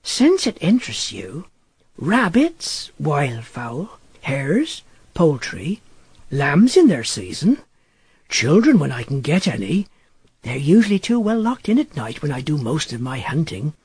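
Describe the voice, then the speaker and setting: A man voicing a wild, sly teenage werewolf boy who speaks slowly and insolently, savouring words in a husky voice, for an audiobook. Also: on the high side, huge pitch swings, darkish tone, no echo, full character voice. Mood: sly relish. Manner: slow, drawn-out, relishing words. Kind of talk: audiobook character voice.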